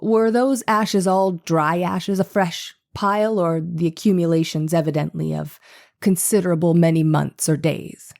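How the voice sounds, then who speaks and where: A woman voicing a methodical 1890s male defense attorney in formal, deliberate cross-examination, in a dramatized trial reading. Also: lowish voice, fairly even intonation, gravelly, darkish tone. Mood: probing, formal. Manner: formal, deliberate, probing questioning. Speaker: voice actor reading a lawyer's part.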